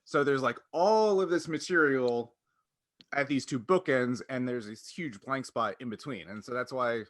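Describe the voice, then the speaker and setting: A man's voice: mid-range voice, moderate pace, fairly bright tone, dry recording. A man hosting a podcast, talking casually in a wordy, explanatory way. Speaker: podcast host.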